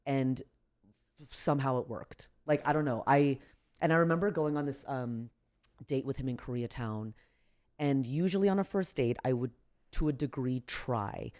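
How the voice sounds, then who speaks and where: Woman w a really deep voice, super chill and low key, just chatting on a podcast. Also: normal speed. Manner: relaxed, low-key, acts out quotes with raised voice. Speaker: comedian guest. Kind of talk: casual podcast storytelling.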